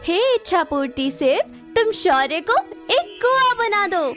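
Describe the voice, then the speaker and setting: A woman's voice: extremely high pitch, big pitch swings, a little slow, fairly bright tone, soft background music, full character voice. A woman voicing a young, assertive female monkey, an enchanted merchant's daughter, in a cartoon story. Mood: curious, playful. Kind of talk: Hindi moral-story cartoon acting.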